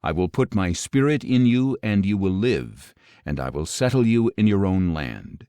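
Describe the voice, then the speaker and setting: A man acts out a solemn, commanding God in an audio Bible. The voice is low and grave, declaiming in measured phrases that rise and fall widely. The sound is dry and close. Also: lively intonation, warm tone, no room sound. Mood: solemn, promising. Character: commanding, solemn male God speaking prophecy. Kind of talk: audio Bible scripture reading.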